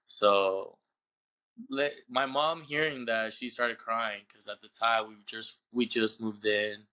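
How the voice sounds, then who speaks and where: A young man from Puerto Rico, a baseball player being interviewed on a podcast, telling his story in a halting way and often repeating words as he searches for the English. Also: fairly low pitch, fairly even intonation, pushed and bright, poor audio. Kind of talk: casual interview.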